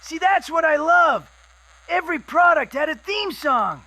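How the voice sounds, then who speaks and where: Guy doing a reckless hyped-up wasteland scavenger, super high voice, slow drawl. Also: exaggerated voice, rough recording. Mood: excited. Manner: excited, drawn-out exclamations.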